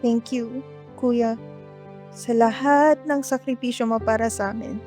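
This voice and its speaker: A woman's voice: a little high, steady tone, a little slow, darkish tone, faint music behind, lightly acted. A woman with a Filipino accent playing a meek, apologetic young student sister, tender and grateful, in an amateur radio drama.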